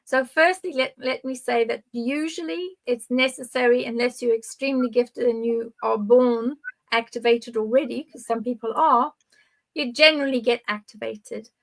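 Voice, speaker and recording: A woman's voice: a little high, steady tone, normal speed, gravelly, low-quality recording. An older woman in her sixties or beyond, talking conversationally to live viewers as a spiritual guide, explaining things in an encouraging way. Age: 60s or older. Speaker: podcast guide speaking on spiritual topics.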